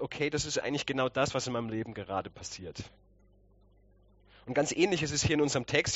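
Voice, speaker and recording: A man's voice: mid-range voice, a bit bright, dry recording, dull audio. A man with a slight cold, preaching conversationally and explaining with rhetorical questions. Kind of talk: conversational sermon.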